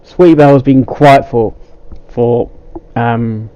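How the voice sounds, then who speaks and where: A man hosting a casual, unscripted reaction video, speaking haltingly and often stumbling, repeating and restarting his phrases. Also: medium pitch, steady tone, dark tone, clean tone, dry recording, muffled recording.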